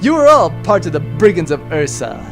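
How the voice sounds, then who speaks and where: A man's voice: on the high side, big pitch swings, fairly quick, over music. A man voicing a vain, swaggering one-eyed pirate major in a comedy audio drama, speaking with theatrical bluster. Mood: rousing.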